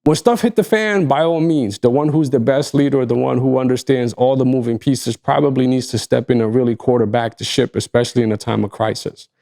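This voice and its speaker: A man's voice: medium pitch, brisk, vocal fry, hardly any pauses, warm tone. A man from Egypt whose first language is Arabic, co-hosting a podcast in a reflective casual chat and repeating points for emphasis. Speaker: podcast co-host.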